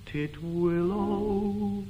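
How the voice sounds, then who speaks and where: A timid, lovesick comic suitor, played by a man with a high voice, pleading with mock passion and crooning a mournful ballad. He takes his time over the phrases, with an orchestra playing clearly behind him. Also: very slow, warm tone, lo-fi sound, soft background music. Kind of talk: comic operetta singing and acting.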